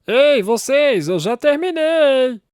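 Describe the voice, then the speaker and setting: A woman's voice: high-pitched, expressive, slow pace, a little mellow. A woman voicing a lazy, boastful little pig boy in a children's cartoon, with a childlike voice. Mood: breezy, boastful. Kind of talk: children's cartoon character acting.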